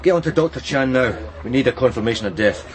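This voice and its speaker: An actor playing a weary, brusque Scottish police sergeant in a radio crime drama, gruff and clipped. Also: male voice, medium pitch, expressive, quick pace, lightly acted. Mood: businesslike.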